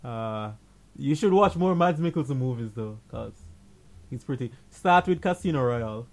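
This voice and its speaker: A man's voice: mid-range voice, animated, moderate pace. Guy on a movie podcast, laughs a lot, blunt opinions, regular mid voice. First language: not Danish; speaks English. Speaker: podcast co-host. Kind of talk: casual chat about movies.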